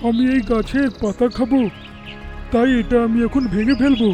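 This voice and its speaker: A man voicing bullying animal kings in a children's cartoon, gruff and bossy. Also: very high-pitched, fairly even intonation, moderate pace. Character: bullying male elephant and lion kings. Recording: children's music behind.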